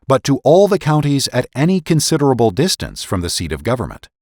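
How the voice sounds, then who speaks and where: A low-voiced man narrates historical essays in a dramatic, oratorical way. His pitch swings widely as he stresses phrases with formal deliberation. The recording is close and dry, with no room echo. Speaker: podcast host and narrator.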